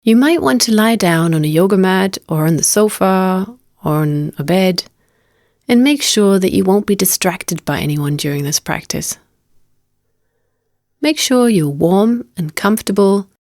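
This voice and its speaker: Woman guiding a meditation, slightly low voice. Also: no room sound, studio quality. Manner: gentle, unhurried, invitational. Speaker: meditation teacher. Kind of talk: guided meditation narration.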